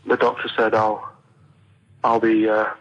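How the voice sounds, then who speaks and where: Monotone Mancunian man, dull recording. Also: lowish voice, a little slow. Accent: Manchester. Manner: deadpan, hesitant. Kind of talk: answering machine message. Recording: dull lo-fi phone answering message.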